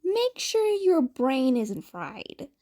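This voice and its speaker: A woman's voice: sits high, big pitch swings, drawn-out words, dark tone, exaggerated voice. A woman voicing a playful, fussing grown man with a sing-song, chatty way of asking things, in a story reading. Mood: fussing, cheerful. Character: loud, chirpy, fussing adult man, playful caretaker.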